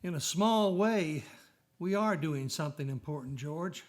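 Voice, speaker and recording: Radio play dad played by a man: kindly older businessman, high voice, measured, patient and sincere. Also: expressive. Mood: gentle, patient. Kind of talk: old-fashioned radio play acting.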